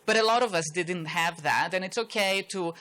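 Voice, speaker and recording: Middle-aged Greek-accented woman panelist, bright and forceful voice, chatty with lots of restarts. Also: lowish voice, moderate pace. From Greece. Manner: chatty, self-deprecating, many restarts. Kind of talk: panel talk.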